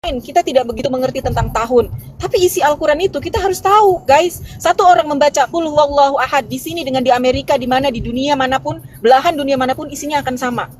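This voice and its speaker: A woman's voice: sits high, quick pace, a little mellow, a little hiss or hum. A woman hosting a live stream, explaining at length in a casual way with restarts and fillers, talking to viewers from the road. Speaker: host of a live religious debate stream.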